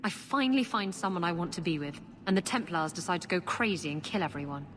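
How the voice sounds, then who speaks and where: A woman's voice: lowish voice, expressive, fast talker, lightly acted. A woman playing a tough, guarded but devoted adventurer in a video game, with a wry, intense delivery. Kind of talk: dramatic video game cutscene acting. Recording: long reverb, game music behind.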